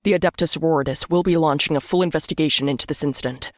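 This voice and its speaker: A woman's voice: low-pitched, rapid-fire, no echo, clean studio sound, natural voice. A woman voicing a stern armored battle-sister with cold, commanding authority in a sci-fi story. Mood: cold threat.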